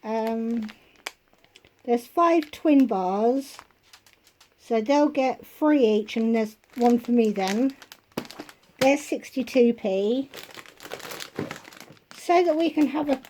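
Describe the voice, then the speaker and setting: A woman vlogger at home, chatting casually through a shopping haul and listing prices, her voice going hoarse. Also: a little high, warm tone, slight background noise, low-quality recording. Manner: chatty, unhurried, itemizing prices.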